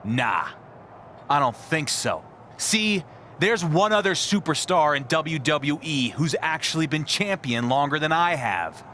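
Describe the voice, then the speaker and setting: A man's voice: on the high side, lively intonation, unhurried, bright, forceful tone, a little hiss or hum. A man playing a confident, defiant pro wrestling champion in a video game cutscene, talking with cocky determination. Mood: defiant, determined. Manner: cocky, determined promo delivery.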